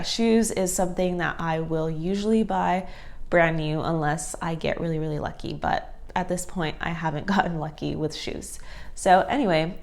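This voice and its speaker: A woman's voice: lowish voice, darkish tone. A woman in her twenties with a nasal, stuffed-up voice from allergies, chatting casually to camera and giving tips. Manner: chatty, friendly, many fillers like "like" and "so". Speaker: thrifting vlogger. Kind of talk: casual vlog talk to camera.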